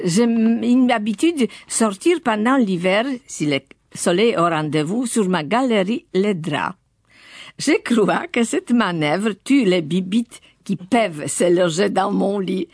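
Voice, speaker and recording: A woman's voice: fairly low pitch, no echo, clean studio sound. Older woman, careful tinged French. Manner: measured, careful, occasional hesitations. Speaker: radio studio guest. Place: studio.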